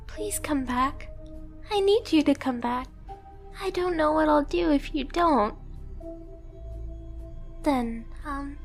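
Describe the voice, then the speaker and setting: A very high, girlish catgirl played by a woman speaks slowly in an anime ASMR roleplay. She is clingy, timid and devoted, with an anxious, possessive streak, and music plays clearly behind her. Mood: anxious, pleading, then shy, attentive. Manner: timid, hesitant, breathless pleading to the listener.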